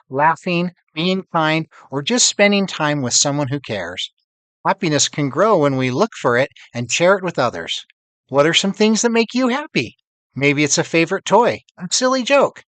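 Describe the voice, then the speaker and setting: A man's voice: on the high side, a bit bright. An older man hosts a children's storytime with very varied intonation. He addresses young listeners and families expressively, and the sound is dry and close, free of room echo. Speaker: host of a children's readalong. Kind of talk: storytime narration.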